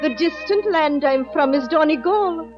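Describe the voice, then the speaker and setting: An actress playing a shy young Irish woman in a 1940s radio play, speaking softly and tenderly with an Irish brogue. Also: sits high, normal speed, natural voice. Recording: dull old radio recording with music.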